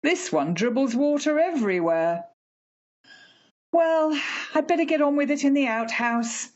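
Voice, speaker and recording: A woman playing a fussy, chatty working-class mother in a radio play, homely and expressive. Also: sits high, a little slow, muffled recording. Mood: homely, wistful.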